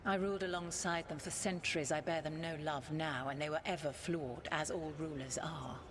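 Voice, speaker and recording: Casting: actress as ancient elven goddess spirit, stern and haughty, lowish voice, formal game-drama delivery over clearly noisy ambience. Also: female voice, fairly even intonation, natural voice. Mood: imperious, challenging. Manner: formal, haughty, deliberate, commanding. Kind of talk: dramatic video game acting.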